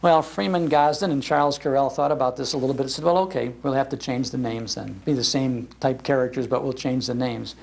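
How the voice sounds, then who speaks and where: An American man telling anecdotes to a gathering as an animated raconteur. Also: mid-range voice, brisk, gravelly, few pauses. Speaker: speaker, radio historian. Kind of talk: anecdotal storytelling in a public talk. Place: public library talk.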